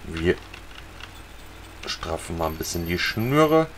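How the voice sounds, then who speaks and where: A man casually talking viewers through a fishing video game, relaxed and chatty. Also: low-pitched, slow, a little hiss or hum. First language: German. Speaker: gaming channel host. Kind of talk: casual let's-play commentary.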